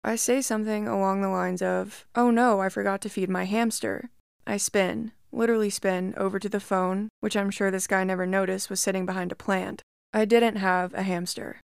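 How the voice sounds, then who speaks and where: A woman narrating a first-person creepy story in a calm, measured storytelling voice. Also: medium pitch, gravelly, smooth, no room sound, clean studio sound.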